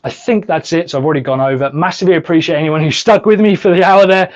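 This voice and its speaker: A man coaching on sales in a live training, blunt and emphatic, swearing freely and going hoarse by the end. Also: a little high, fast, muffled recording. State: losing his voice. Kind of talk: live training answering viewer questions.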